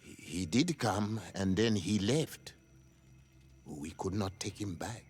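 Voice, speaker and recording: A grave village chief in a game, raspy husky voice, pitch moves around a lot. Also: male voice, expressive, slow pace, a little mellow, faint music behind, heavily acted. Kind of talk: video game cutscene acting.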